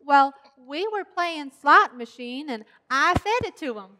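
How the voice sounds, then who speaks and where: High, bright, pesky little girl. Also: animated, unhurried, a little hiss or hum, exaggerated voice. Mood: contrary, insistent. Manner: insistent, childlike, repeats catchphrases. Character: pesky, stubborn, chatty little neighbor girl. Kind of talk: old-time radio comedy acting.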